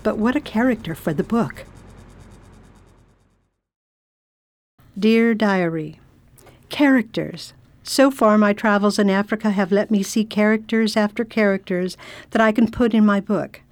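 A woman's voice: mid-range voice, dry recording, natural voice. A woman playing an imperious, curious English lady traveller in an audio drama, speaking in a formal, old-fashioned way. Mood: reflective narration. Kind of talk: audio drama acting and diary narration.

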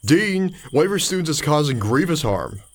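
A man's voice: a little high, very animated, normal speed. A man playing an earnest, indignant young student in a radio drama, urgent and incredulous in delivery.

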